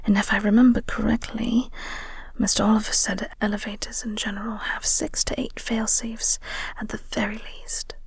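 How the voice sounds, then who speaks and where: A woman playing a flirty, caring coworker in an intimate audio roleplay, speaking softly and gently in a breathy, reassuring way. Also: mid-range voice, little pitch movement, normal speed, no room sound. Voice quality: soft, breathy, whispery.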